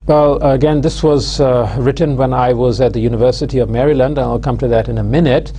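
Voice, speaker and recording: Measured man lecturing. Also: mid-range voice, fairly even intonation, mellow, slight background noise. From London, England. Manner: measured, hesitant, many "uh" and "um" fillers. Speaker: university lecturer. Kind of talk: recorded video lecture. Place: studio with plain backdrop.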